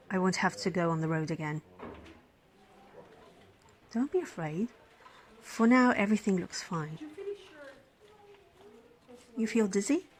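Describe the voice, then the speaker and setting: A woman's voice: medium pitch, close to an everyday voice. A woman playing a caring, smitten emergency physician in an intimate ASMR roleplay, speaking tenderly and soothingly. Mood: reassuring, tender. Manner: tender, soothing, flustered, dropping into breathy whispers. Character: caring, smitten female emergency physician tending a crash patient. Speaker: roleplay voice performer. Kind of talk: ASMR roleplay acting, intimate one-sided dialogue.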